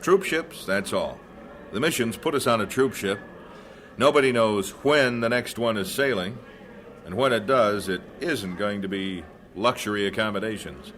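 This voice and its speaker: An actor playing a steady, practical missionary husband, earnest and reassuring, on a noisy old radio transcription. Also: male voice, lowish voice, normal speed, lightly acted. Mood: matter-of-fact. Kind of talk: radio drama acting.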